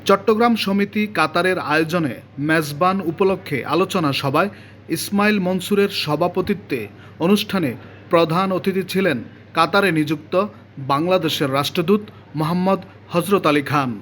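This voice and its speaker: A male news reporter reading a scripted report in a measured, formal way. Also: high-pitched, a bit bright, a little hiss or hum.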